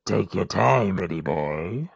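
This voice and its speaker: A man's voice: sits low, lively intonation, slow pace, creaky, husky, dry recording, exaggerated voice. A man voicing a menacing, scornful thug henchman in a phlegmy growl for an audio story. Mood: menacing taunt. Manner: taunting, scornful. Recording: dull muffled lo-fi audio.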